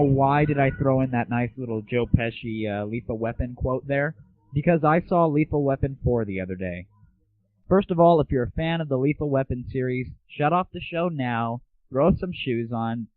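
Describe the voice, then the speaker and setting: A man's voice: medium pitch, moderate pace, no echo. A man hosting an online gaming show in a casual, irreverent monologue, with a cheeky, slangy and crude way of talking. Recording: tinny, narrowband phone-like audio.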